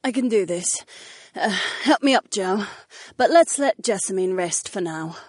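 A woman playing sweet, easygoing young princesses in audiobook dialogue, with a soft, drawn-out delivery. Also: mid-range voice, lively intonation, breathy edge, dry recording, clean studio sound. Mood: groggy.

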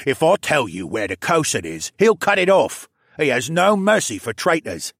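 A man's voice: a little high, sing-song, normal speed, vocal fry, a bit bright, no room sound, studio quality, pitched above the performer's own voice, exaggerated voice. A man voicing a hardened, sneering captured soldier who speaks with scornful, snapping contempt in an audiobook story. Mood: grim.

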